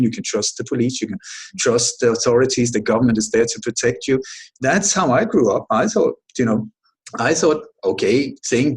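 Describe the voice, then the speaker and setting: A middle-aged man from Sweden, a guest in an interview, answering at length in a halting way with many restarts and emphatic points. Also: mid-range voice, poor audio. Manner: halting, frequent restarts and self-corrections, emphatic. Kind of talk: long conversational answer.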